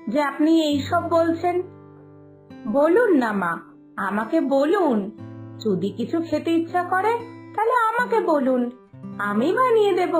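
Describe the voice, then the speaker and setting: A woman's voice: very high-pitched, full character voice. A woman voicing a caring, dutiful young daughter-in-law in a village cartoon story, soothing and coaxing.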